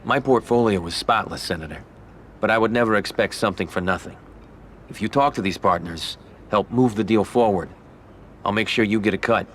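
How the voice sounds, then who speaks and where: Man playing a low-voiced, calculating mobster. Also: a bit bright, a little hiss or hum, natural voice. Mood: polite, persuasive. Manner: measured, polite, persuasive. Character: smooth, calculating, ambitious male American mobster. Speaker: mobster character in a crime game. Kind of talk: dramatic video game cutscene acting.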